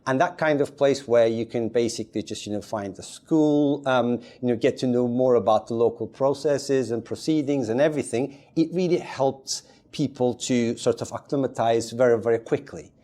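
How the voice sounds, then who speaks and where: A man's voice: mid-range voice, moderate pace, warm tone. A man from Turkey, a podcast guest, chatting casually and rambling with many fillers and restarts. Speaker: podcast guest, community advisor. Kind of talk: casual chat.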